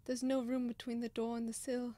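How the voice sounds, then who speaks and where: A woman's voice: on the high side, level tone, brisk, warm tone, no echo, close to an everyday voice. A woman playing a pious, timid, kindly old male servant, lamenting in old-fashioned radio drama style. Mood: kindly, concerned.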